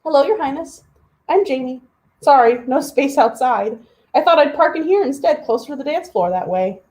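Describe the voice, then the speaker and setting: A woman's voice: high voice, lively intonation, normal speed, a little mellow. A woman voicing a cheeky, modest young man in a storybook, cheerful and polite. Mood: cheeky, friendly. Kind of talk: storybook character voice.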